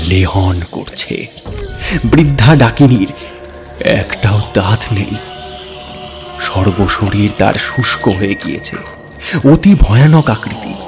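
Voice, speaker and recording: A low-voiced man narrates a horror story. His delivery is theatrical and suspenseful, set out in deliberate short phrases. Music plays clearly behind his voice. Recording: voice over background music. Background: music bed.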